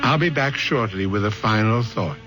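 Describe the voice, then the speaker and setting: A man hosting a radio drama, giving scripted narration in a measured, solemn, theatrical 1970s style. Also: fairly low pitch, animated. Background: music.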